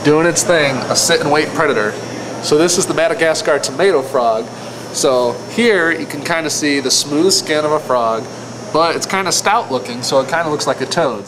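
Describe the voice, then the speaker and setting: A man's voice: a little high, noisy background. A man speaking as a zoo keeper, giving a casual, explanatory educational presentation. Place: zoo exhibit area.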